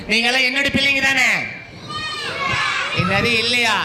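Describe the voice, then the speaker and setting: A man's voice: very high voice, a little slow. A man playing a gloating devil who taunts children in a stage puppet show, drawing out words and cackling. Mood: gloating, taunting. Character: gloating, mocking devil tempting children, laughing wickedly. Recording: echoey noisy hall with crowd.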